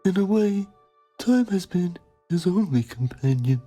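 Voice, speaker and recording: A man's voice: sits high, expressive, measured pace, warm tone, soft background music. A man playing a pompous TV journalist, narrating a mock documentary in a solemn, melodramatic manner. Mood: grave, pompous.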